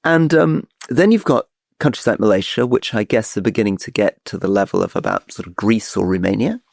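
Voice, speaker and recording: A man's voice: lowish voice, brisk, vocal fry, dry recording, clean studio sound. A middle-aged man co-hosting a podcast, explaining eagerly and restarting his sentences as he goes. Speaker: podcast co-host. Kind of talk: casual political chat.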